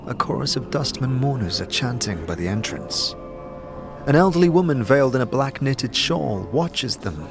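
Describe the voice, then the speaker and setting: Gravelly male fantasy narrator. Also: mid-range voice. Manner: descriptive, storyteller-like. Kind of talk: scripted second-person fantasy narration. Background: music.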